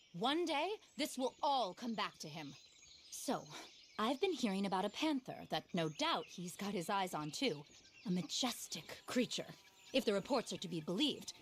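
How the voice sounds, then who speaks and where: Actress doing a posh-spoken nature lady for a game, highish voice that swoops around, theatrical n easily outraged, bit of noise behind. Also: natural voice. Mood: bitter, outraged, then eager. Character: eccentric, passionate female frontier naturalist, indignant animal lover. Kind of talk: video game cutscene acting.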